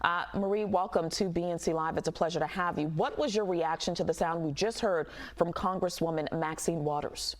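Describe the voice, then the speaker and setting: A woman news host interviewing a guest in a measured, probing way. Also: fairly low pitch.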